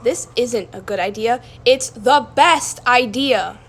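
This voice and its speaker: A woman voicing a bold, eager girl adventurer from a storybook with emphatic, excited delivery. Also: on the high side, slow. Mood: excited, determined.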